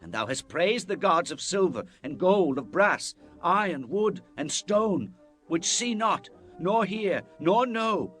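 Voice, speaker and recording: An actor playing a stern, fearless Hebrew prophet in a dramatized Bible, solemn and declamatory. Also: male voice, high voice, very animated, slow, fairly bright tone, soft background music, exaggerated voice.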